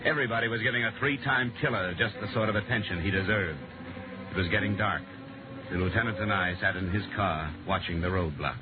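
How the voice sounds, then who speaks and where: An actor playing a wry, hard-boiled insurance investigator in a 1950s radio drama, brisk and clipped, both in scenes and narration. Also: male voice, on the low side, natural voice. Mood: narrating. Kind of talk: 1950s radio detective acting and first-person narration. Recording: noisy, tinny old radio broadcast recording.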